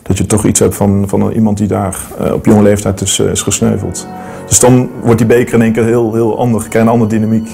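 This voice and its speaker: A man's voice: lowish voice, level tone, a little fast, gravelly, music in the background. A man in his thirties telling his story in a documentary interview, hesitant with many fillers and restarts. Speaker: metal detectorist interviewee. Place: studio against plain backdrop.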